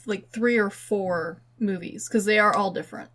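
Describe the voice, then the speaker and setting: A woman speaks at an average pitch in casual podcast conversation. She co-hosts with a blunt, opinionated manner, talking as if across a table from a friend. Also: normal speed, a bit bright. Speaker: podcast co-host. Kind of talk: casual chat.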